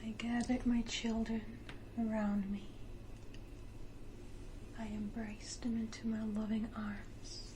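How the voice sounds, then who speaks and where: A woman voicing a proud, condescending vampire matriarch in a breathy, deliberate ASMR whisper, with theatrical pauses and close to the microphone. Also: fairly high pitch, level tone, background noise. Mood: tender, proud. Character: powerful female vampire matriarch, menacingly tender, proud, condescending.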